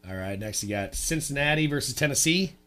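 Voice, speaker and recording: A man hosting sports radio, brisk and easygoing, keeping the picks moving. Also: medium pitch, expressive, a bit bright, clean tone, soft background music. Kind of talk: casual radio chat. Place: radio studio.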